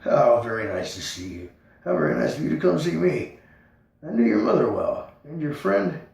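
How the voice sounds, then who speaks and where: Man playing a fussy elderly rabbit chief, gravelly voice, distant in an echoey room. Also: a little high, very animated, normal speed, darkish tone. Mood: patronizing. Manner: polite, absent-minded, condescending. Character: aging, aloof male chief rabbit. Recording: echoey room, far from mic.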